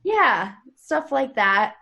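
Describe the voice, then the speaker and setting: A woman's voice: sits high, sing-song, slow, gravelly, bright, forceful tone. Upbeat, chatty woman on a video call. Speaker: actress. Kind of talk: casual chat. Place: remote video call from home.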